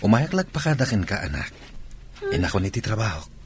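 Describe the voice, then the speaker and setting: A man's voice: on the low side, sing-song, brisk, slight background noise. A man playing a soldier and father in a radio drama, speaking firmly. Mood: gentle. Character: army father, busy and tender.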